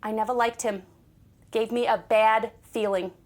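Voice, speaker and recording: A woman's voice: fairly high pitch, fairly even intonation, measured pace, a little mellow. An actress playing a doting, starstruck older mother in an audio drama, nagging and insistent with a dramatic streak. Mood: nagging, encouraging.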